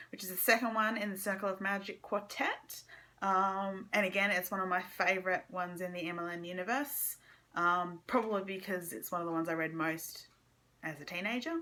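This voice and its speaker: A young woman giving a casual, halting book review to camera, informally trailing off and circling back. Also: mid-range voice, pushed and bright. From Australia.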